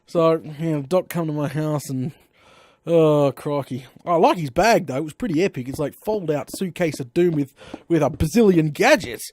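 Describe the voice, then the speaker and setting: An Australian man chatting casually during a live stream of his game, rambling through stories with lively slang exclamations. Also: on the high side, moderate pace, dry recording, clean studio sound. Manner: chatty, animated storytelling with frequent slang exclamations. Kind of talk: rambling casual chat while playing.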